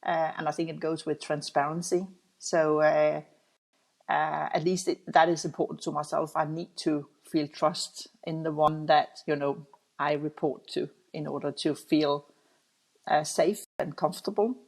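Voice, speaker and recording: A middle-aged Danish woman with a Danish accent, answering interview questions thoughtfully, with many pauses and restarts. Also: sits low, fairly even intonation, gravelly, a little mellow, low-quality recording. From Denmark. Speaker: guest, audit executive.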